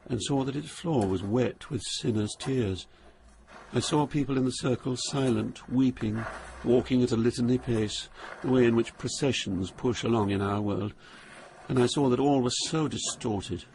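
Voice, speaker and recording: An awestruck, fearful poet, played by a man, tells his tale in a medium-pitched voice with solemn, vivid storytelling. Loud music runs behind this dramatized literary narration. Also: creaky, poor audio. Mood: solemn horror. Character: awestruck, fearful poet recounting his journey through Hell.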